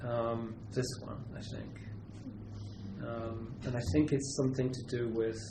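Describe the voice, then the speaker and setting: A British-raised man answers seminar questions at a middle pitch, weighing each word and often restarting his sentences. The recording catches a roomy lecture space, with steady background noise behind him. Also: slow. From Britain. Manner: thoughtful, hesitant, many restarts and fillers. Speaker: lecturer and literary translator. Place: university seminar room.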